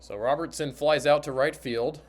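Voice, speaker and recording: A man's voice: on the high side, steady tone, normal speed. A man giving radio play-by-play commentary of a baseball game as the announcer.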